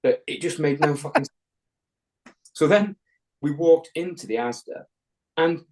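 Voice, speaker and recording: A man's voice: a little high, unhurried, darkish tone. A man from England, a podcast guest, telling a rambling anecdote in casual chat, swearing constantly and restarting his sentences with ums and likes.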